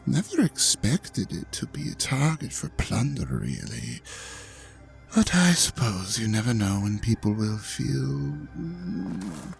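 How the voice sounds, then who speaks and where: A man playing an ancient, vain dragon god in an audio drama, pompous and mock-courteous, with a theatrical drawl that sinks into breathy murmurs. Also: mid-range voice, very animated, slow pace, soft background music, exaggerated voice. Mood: haughty, amused. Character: ancient vain male dragon god, suave, hypnotic, menacing host. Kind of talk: scripted audio drama monologue addressed to the listener.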